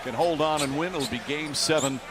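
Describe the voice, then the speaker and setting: A man's voice: a little high, a little fast. A man calling live basketball play-by-play in short, clipped descriptive phrases. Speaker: play-by-play sports commentator. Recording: loud noisy arena ambience behind.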